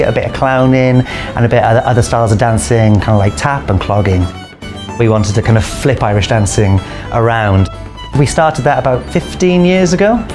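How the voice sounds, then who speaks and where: Higher-pitched man over music. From Wales. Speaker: Irish dancer in a comedy circus show. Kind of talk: casual documentary interview. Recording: music behind the voice.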